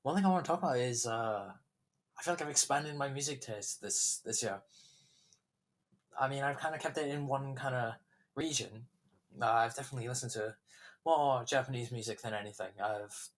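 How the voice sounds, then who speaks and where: A young man hosting his own podcast, chatting casually and rambling with many fillers, his throat a little sore. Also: mid-range voice. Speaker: podcast host. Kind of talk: casual solo podcast chat.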